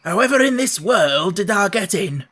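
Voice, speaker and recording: A man voicing a grumpy old male gardener with a broad Yorkshire accent and a gruff, scolding manner, in a storybook reading. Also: high-pitched, lively intonation, moderate pace, pushed and bright, pitched above the performer's own voice, exaggerated voice. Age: older.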